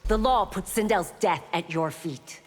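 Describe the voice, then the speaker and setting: An actress playing a stern, resolute law officer and warrior in a video game, with a firm, formal manner. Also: female voice, lowish voice, a little slow. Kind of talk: video game heroine acting. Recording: reverberant arena with clear background noise.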